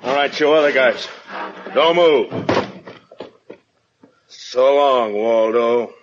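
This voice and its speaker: Man acting a slow, surly drunken gunman. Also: medium pitch, lightly acted. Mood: menacing, gloating. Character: belligerent drunk gunman in a bar. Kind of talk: 1940s radio acting. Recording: dull, noisy vintage radio recording.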